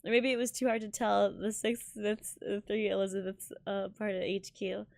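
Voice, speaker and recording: A woman streaming a visual novel, reading it aloud in a halting, giggly way with stumbles over words. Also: mid-range voice, flat delivery, gravelly, a little mellow, no echo. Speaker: game streamer.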